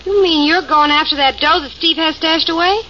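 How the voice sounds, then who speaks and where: A cynical gangster's moll who answers everything with a sardonic quip. Her voice is high and bright, and the old broadcast sound is dull with faint hiss. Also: normal speed. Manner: wisecracking, sardonic. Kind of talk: 1940s radio acting.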